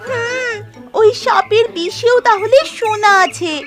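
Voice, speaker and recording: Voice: extremely high pitch, a little slow. A performer voicing a young girl in a children's cartoon, a caring, anxious friend with a thin girlish voice. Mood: puzzled. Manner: questioning, concerned. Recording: music playing behind the voice.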